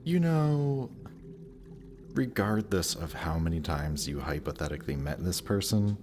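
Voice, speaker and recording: A man's voice: low-pitched, expressive, measured pace, a little mellow, a little hiss or hum. A man playing a death god's smug, lovestruck young son in a romantic audio roleplay, talking casually and teasingly to the listener.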